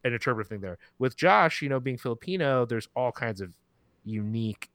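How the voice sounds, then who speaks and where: A man's voice: medium pitch, expressive, brisk, a bit bright, dry recording. A man talking casually in an interview as a podcast guest, informal and rambling, often correcting himself mid-sentence. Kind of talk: casual conversational interview talk.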